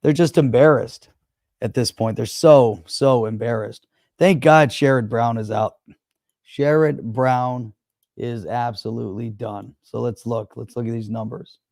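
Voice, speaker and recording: A man's voice: mid-range voice, unhurried, darkish tone, dry recording, studio quality. A man hosting a casual live stream, chatting and opinionated as he thinks aloud. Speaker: crypto show host. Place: home in Florida.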